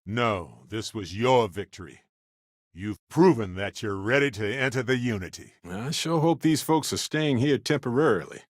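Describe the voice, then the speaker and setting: A man playing a wry, warm-hearted middle-aged space explorer in a video game, conversational with earnest asides. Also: mid-range voice, lively intonation, normal speed, no room sound, clean studio sound, lightly acted. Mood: thoughtful, easygoing. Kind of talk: acted video game companion dialogue.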